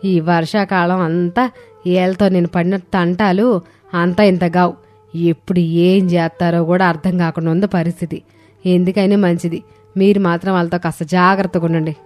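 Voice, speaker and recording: A woman's voice: on the low side, flat delivery, normal speed, darkish tone, close to an everyday voice. A woman voicing a bossy, exasperated older mother-in-law in a cartoon family story, scolding and weary. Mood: complaining. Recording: music under the voice.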